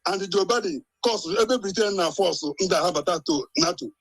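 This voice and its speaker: A man's voice: high voice, a little fast, dry recording. A Nigerian man joining a live stream as a guest, talking insistently and checking in with the host. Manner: insistent, keeps checking the listener with "eh?". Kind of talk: casual chat mixing Igbo and English.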